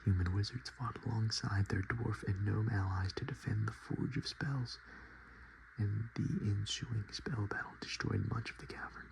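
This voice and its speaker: A man hosting softspoken, whispered ASMR in a murmured, unhurried, casual and self-deprecating way. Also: fairly low pitch, fairly even intonation, gravelly, slight background noise, rough recording. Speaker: ASMR video host.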